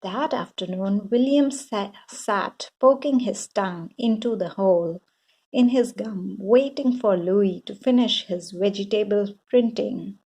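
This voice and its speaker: A woman reading a children's bedtime story aloud in a deliberate, expressive way for young listeners. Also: mid-range voice, slightly rough.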